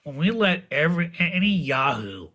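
A man talks politics with a sharp, bright edge and a raspy voice. He is blunt and emphatic, leaning into sarcasm and the odd swear word as a regular guest on a casual talk show. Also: a little high, sing-song, a little fast.

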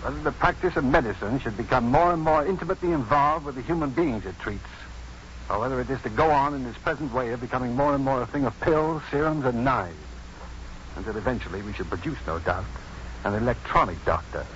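A man's voice: mid-range voice, a little fast, a bit bright, natural voice. Proud maverick physician played by a male actor, formal and sardonic, 1950s radio play, dull hissy recording. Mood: firm, earnest. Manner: formal, precise, dryly sardonic. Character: proud unconventional male doctor, coolly defiant and dryly witty. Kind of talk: 1950s radio drama acting.

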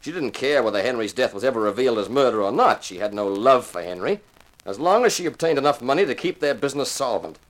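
A man's voice: medium pitch, lively intonation, fast, natural voice. An actor playing a tough, wisecracking insurance investigator who narrates his own case in a 1950s radio crime drama, with a sardonic, commanding manner. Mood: deliberate, firm. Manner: hard-boiled, sardonic, commanding.

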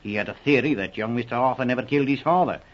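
Actor as husky, flustered, humble policeman. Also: medium pitch, racing, close to an everyday voice. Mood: flustered, gloomy. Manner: hesitant, folksy, ungrammatical speech. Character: flustered, humble police subordinate, worried father. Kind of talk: old-time radio drama acting. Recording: muffled old 1940s radio recording.

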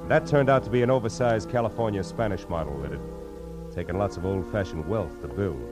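A man plays a hard-boiled detective in old-time radio style. His low voice is cool and slangy, moving between wry narration and clipped questioning, with music in the recording. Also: fairly quick, close to an everyday voice. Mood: steady, wry. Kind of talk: 1940s radio noir, narration and dialogue.

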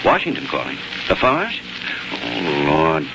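A man playing a busy, fond but evasive lawyer son in a 1940s radio drama, with a halting, flustered delivery. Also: a bass voice, wildly swooping pitch, vocal fry, exaggerated voice. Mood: harried, brisk. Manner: hesitant, halting when flustered. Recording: muffled, noisy 1940s radio recording.

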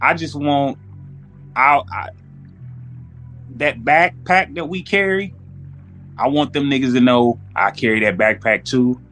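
A man's voice: mid-range voice, measured pace, long pauses, fairly bright tone, soft background music. A man with an American accent talking casually as a podcast guest, with plenty of slang and swearing.

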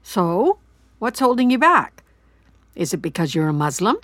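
A woman's voice: medium pitch, sing-song, moderate pace, fairly bright tone, no echo. A woman playing an anxious, guilt-ridden older mother in an audio drama, talkative, earnest and pushy in a rambling way. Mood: insistent, prying. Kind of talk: naturalistic audio drama acting.

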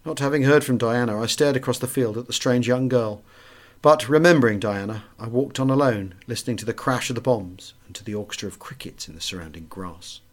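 Male audiobook narrator, mid-pitched voice, for literary first-person wartime memoir. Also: fairly quick.

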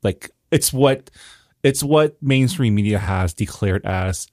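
A man from Hawaii co-hosting a book podcast, speaking in a relaxed, casual way with many fillers. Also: on the low side, takes it slowly, dry recording, clean studio sound. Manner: relaxed, filler-heavy, laughs along. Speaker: podcast co-host.

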